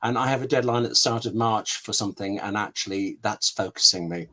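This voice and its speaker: A man's voice: on the low side, fairly quick, bright, forceful tone. A man answering interview questions as a scriptwriter guest on an online webinar, candid and self-deprecating, speaking in short emphatic phrases.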